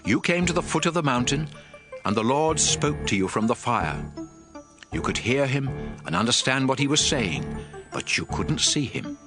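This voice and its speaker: A man's voice: medium pitch, sing-song, moderate pace, natural voice. A man playing a stern, aged prophet exhorting his people in a solemn, emphatic dramatized scripture reading. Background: music.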